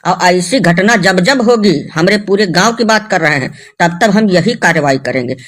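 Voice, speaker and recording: Man acting an agitated, high-voiced village man. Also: lively intonation, moderate pace, a bit bright. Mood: defiant. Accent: North Indian rural Hindi. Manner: ranting, emphatic, rustic. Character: agitated, stubborn village man arguing at police station. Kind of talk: comedy cartoon dialogue.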